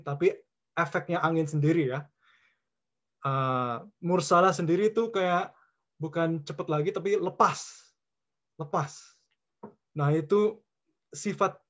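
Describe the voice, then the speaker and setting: A male religious speaker from California with a moderately high voice gives an informal Islamic lecture. He moves in short, halting phrases with pauses mid-sentence and slips English words in as he goes. The audio is somewhat muffled and low in fidelity. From California, United States.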